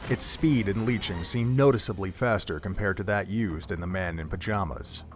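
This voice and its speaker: A man's voice: low-pitched, moderate pace, a bit bright, a little hiss or hum. A man reading scripted narration for an audio drama in a dramatic storytelling manner. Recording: music under the narration.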